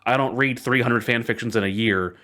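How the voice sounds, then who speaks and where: A man's voice: medium pitch, fairly quick, bright, forceful tone. A man hosting a podcast in casual storytelling chat, enthusiastic and emphatic, often doubling words for stress. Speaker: podcast host.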